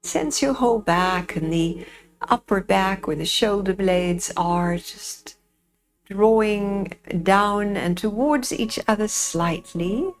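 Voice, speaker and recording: A woman teaching yoga nidra, guiding a relaxation in a soothing, gentle way with unhurried pauses. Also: medium pitch. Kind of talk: guided relaxation, meditation instruction.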